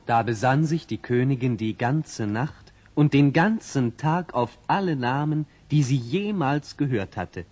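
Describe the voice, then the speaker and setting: A man's voice: medium pitch, expressive, unhurried, hardly any pauses, a little mellow. A man narrating a fairy tale in a scripted, old-fashioned children's audio play. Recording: old vinyl record, faint noise.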